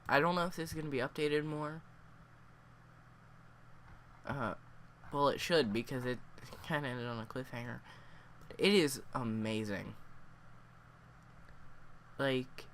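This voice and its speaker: A man with a cold, sniffling and hoarse, reviewing a story in a casual, rambling way full of fillers. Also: mid-range voice, fairly bright tone, a little hiss or hum. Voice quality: hoarse, worn voice.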